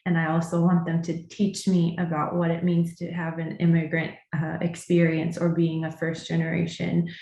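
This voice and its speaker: A woman's voice: on the low side, completely flat delivery, moderate pace, hardly any pauses, dark tone, roomy sound. A woman therapist speaking earnestly and reflectively on a panel, second-generation American with English as her first language. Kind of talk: panel discussion.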